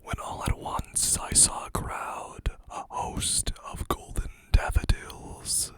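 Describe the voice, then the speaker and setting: An ASMR host whispering poems into a close mic, reading slowly with chatty asides, voice a little hoarse. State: slightly hoarse, losing voice.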